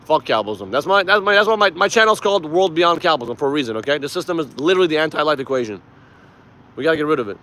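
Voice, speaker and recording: A man's voice: on the high side, animated, rapid-fire, bright, forceful tone. A man from Iran, a native Persian speaker, hosting a casual livestream and giving emphatic, informal political commentary with some swearing. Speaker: live stream host.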